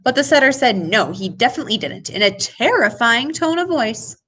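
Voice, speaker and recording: A woman reads a children's story aloud with lots of expression. Her voice sits fairly high, moves widely in pitch and has a slightly husky texture. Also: lively intonation, moderate pace, bright, forceful tone. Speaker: storybook reader. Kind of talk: animated children's read-aloud.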